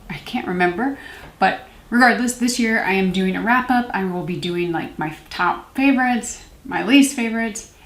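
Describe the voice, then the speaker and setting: A woman in her thirties chatting informally to camera in a casual product review, with self-deprecating asides. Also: medium pitch. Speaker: beauty vlogger, series host. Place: small room at home, indoors. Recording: roomy indoor echo.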